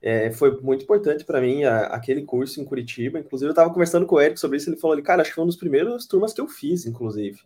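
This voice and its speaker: A man's voice: on the high side, expressive, racing, few pauses, a little mellow. Brazilian countryside man, strong retroflex R. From Brazil, countryside interior. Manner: reflective, storytelling, hesitant restarts. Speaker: podcast guest, UX designer. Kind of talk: casual podcast discussion.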